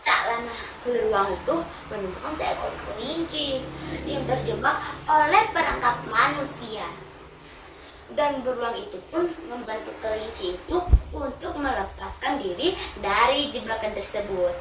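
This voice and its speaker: A child of about ten reciting a children's fable as a storyteller, with deliberate, dramatic phrasing. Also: medium pitch, animated. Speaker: storytelling contest participant. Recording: roomy, distant mic, clearly noisy ambience.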